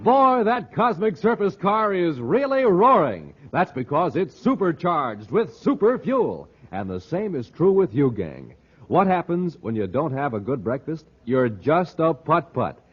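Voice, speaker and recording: A man working as a 1950s radio announcer, delivering a punchy, exuberant commercial pitch aimed at youngsters. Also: very animated, unhurried, breathy edge. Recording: slightly muffled vintage radio recording.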